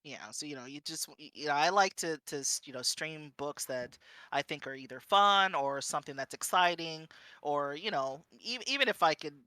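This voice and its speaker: Bright-voiced woman chatting casually. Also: low voice, normal speed, dry recording. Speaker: streamer, panel guest. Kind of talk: casual chat.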